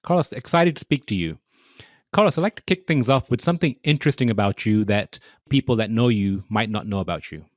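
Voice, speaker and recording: A man's voice: fairly low pitch, expressive, a little fast, no room sound, studio quality. A man hosting a podcast, with polite, prepared introductions and questions for his guest. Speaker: podcast host. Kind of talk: interview hosting.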